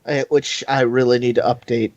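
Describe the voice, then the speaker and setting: A podcast co-host in a casual, animated and argumentative fan discussion. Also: medium pitch, moderate pace, dry recording, highs cut off.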